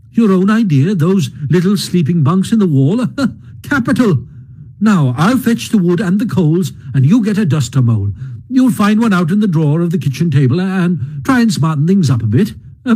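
Hearty storybook water rat, voiced by a man, raised pitch, husky edge, coaxing and brisk. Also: mellow, heavily acted, poor audio. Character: cheery bustling male water rat, hearty. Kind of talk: storybook reading.

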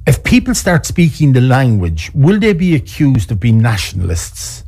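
A man's voice: mid-range voice, huge pitch swings, normal speed, a little mellow. A man with an Irish accent interviewing a guest, asking probing questions with some hesitation. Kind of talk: conversational interview.

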